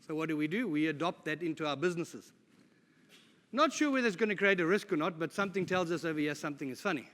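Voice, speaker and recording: A middle-aged man talks to a conference audience in a casual, joking way, poking fun at himself. His voice is bright and forceful and sits a bit high. A long reverb from a large hall trails behind it. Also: lively intonation, quick pace. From South Africa. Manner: jokey, informal, with self-deprecating asides. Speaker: conference speaker. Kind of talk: conference presentation. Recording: live talk in a reverberant hall.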